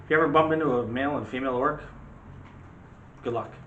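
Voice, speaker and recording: A man's voice: medium pitch, quick pace, narrow, tinny audio. A man co-hosting a movie discussion in a casual, joking, conversational way. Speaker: co-host of a movie discussion show. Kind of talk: casual chat about movies. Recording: roomy echo with light background noise.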